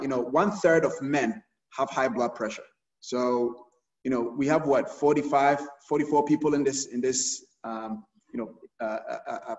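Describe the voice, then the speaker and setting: A middle-aged doctor from Ghana talks through a health presentation on a video call, stopping often to restart his phrases. His voice sits at an ordinary pitch but comes from a little way off the mic, in a roomy space, and the sound is dull and muffled. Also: distant mic. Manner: hesitant, many fillers and repeated starts. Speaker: urologist giving a health talk.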